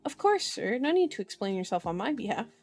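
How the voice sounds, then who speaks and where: A woman's voice: a little high, very animated, a little fast, a little mellow. A woman voicing a frustrated, defiant male police officer in a storybook reading, with a questioning, pointedly polite manner. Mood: falsely polite. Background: music.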